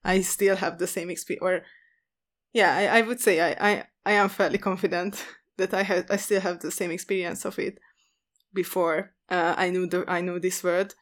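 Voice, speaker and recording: A woman talking in an academic discussion, chatty and quick to restart her sentences. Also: fairly low pitch, steady tone. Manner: chatty, many fillers and restarts. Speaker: podcast co-host.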